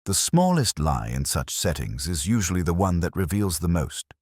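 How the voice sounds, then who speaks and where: Man acting brooding police inspector, detective audio drama narration, extremely deep, dry close sound, measured, wry, literary. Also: very animated, a little mellow, slightly rough, no echo, full character voice. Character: weary, razor-minded male police inspector, brooding and literary. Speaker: first-person narrator. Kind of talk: scripted detective story narration.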